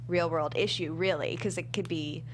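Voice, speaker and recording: A woman's voice: on the low side, steady tone, normal speed, slight background noise. A woman speaking casually as the guest writer in a panel discussion.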